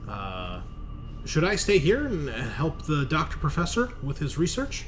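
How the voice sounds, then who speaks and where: A man voicing a hesitant, helpful spaceship crewman in a tabletop game, speaking haltingly and unsurely. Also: fairly high pitch, wildly swooping pitch, soft background music, pitched above the performer's own voice. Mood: hesitant, eager.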